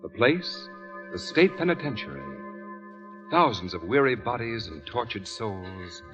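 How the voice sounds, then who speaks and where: A man's voice: lowish voice, lively intonation, measured pace. An American man announcing and narrating in scripted 1940s radio style, emphatic, dramatic and polished. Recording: muffled old radio recording, faint music. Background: faint music.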